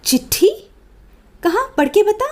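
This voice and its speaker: A woman voicing a harsh older village mother-in-law who scolds in a commanding, shrill way. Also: big pitch swings, measured pace, darkish tone, exaggerated voice. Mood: scolding.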